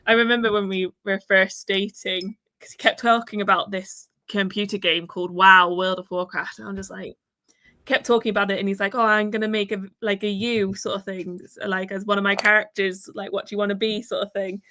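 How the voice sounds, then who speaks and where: A woman's voice: medium pitch, little pitch movement, fairly quick, pushed and bright, no room sound. A woman from Wales with an accent mixing Welsh, northern English and Northern Irish, chatting casually and reading viewer comments on a livestream, with plenty of fillers and laughs. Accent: mixed Welsh, northern English and Northern Irish. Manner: chatty, giggly, lots of fillers. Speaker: livestream co-host.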